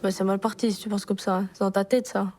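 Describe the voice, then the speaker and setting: A woman's voice: medium pitch, little pitch movement, fast talker, background noise, natural voice. A woman playing a blunt, teasing young rural woman in a film scene. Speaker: film character.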